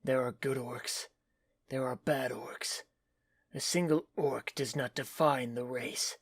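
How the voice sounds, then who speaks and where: An earnest and protective fantasy warrior played by a man speaks in a rough, husky voice of middling pitch. The sound is dry, in audio drama style. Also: steady tone, slow, dry recording. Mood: solemn.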